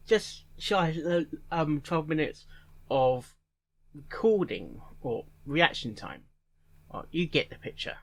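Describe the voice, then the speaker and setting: A man hosting a reaction session, commenting casually in halting bursts, interjecting and echoing phrases. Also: a little high, sing-song, gravelly. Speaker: host of a reaction video.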